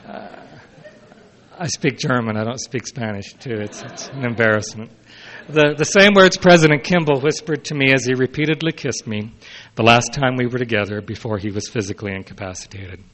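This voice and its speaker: A mature man reads a prepared personal speech aloud in a measured way, with brief asides, as a historian addressing listeners. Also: mid-range voice, fairly bright tone. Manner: measured, announces quote and unquote, brief unscripted asides. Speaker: historian giving a talk.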